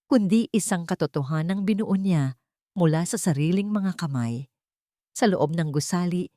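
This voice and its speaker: Woman, storyteller, low-pitched voice, dry close recording, scripted dramatic narration, expressive emphasis. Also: no echo.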